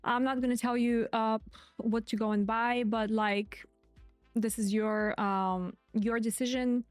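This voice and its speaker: A woman's voice: on the high side, fairly even intonation, unhurried, no room sound, soft background music. A woman host giving live stream market commentary in a chatty way, repeating her key points. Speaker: crypto trading streamer.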